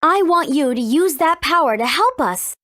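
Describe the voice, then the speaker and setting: A woman's voice: high voice, animated, normal speed, fairly bright tone, dry recording, clean studio sound. A woman voicing a confident, cheeky teenage heroine in a video game, breezy and persuasive. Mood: earnest. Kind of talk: video game character acting.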